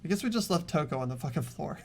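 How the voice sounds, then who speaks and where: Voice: mid-range voice, animated, racing, creaky, very noisy. The host of a playthrough with friends, chatting casually and joking in an irreverent, sweary way. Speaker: host of a group game playthrough.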